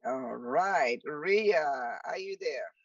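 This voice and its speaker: A woman's voice: sits low, lively intonation, very slow, no echo. A woman from the Democratic Republic of Congo, a French native speaker, hosting an online panel in an upbeat, chatty way with many fillers. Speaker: host. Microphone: video call. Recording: muffled distant video call audio.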